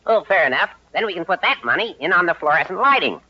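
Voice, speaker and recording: A man's voice: high-pitched, very animated, fast talker, bright, forceful tone, highs cut off, heavily acted. An actor playing an eager, agreeable contractor's sidekick who parrots his boss in an old-time radio comedy. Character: eager yes-man sidekick to a male contractor.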